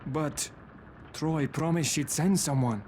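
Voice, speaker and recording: A man's voice: fairly high pitch, slow pace, darkish tone, slight background noise. A man playing a nervous, anxious museum curator in a video game. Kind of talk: video game cutscene acting.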